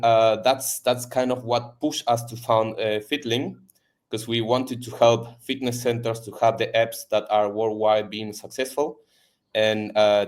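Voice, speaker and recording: A man from Argentina with an Argentine Spanish accent, a native Spanish speaker, talking as a podcast guest in a halting way with many fillers and repeats. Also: on the low side. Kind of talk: casual interview chat.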